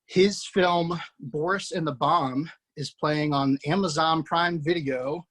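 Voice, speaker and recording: A man hosting and narrating a screenplay table read, relaxed and chatty, with many fillers. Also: a little high, takes it slowly. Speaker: writer and host.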